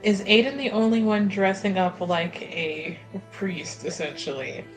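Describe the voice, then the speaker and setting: A woman's voice: medium pitch, a little slow, rough recording. A woman improvising in conversation as a player in a tabletop roleplaying game. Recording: music behind the voice. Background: background music.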